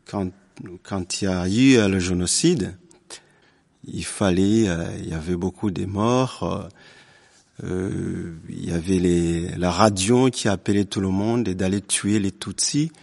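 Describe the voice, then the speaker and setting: A middle-aged man with a Rwandan accent recounts his personal testimony. His voice is low and gravelly, and he speaks very slowly. The delivery is halting, with frequent pauses and repeats. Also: a little mellow. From northern Rwanda. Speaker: witness giving testimony.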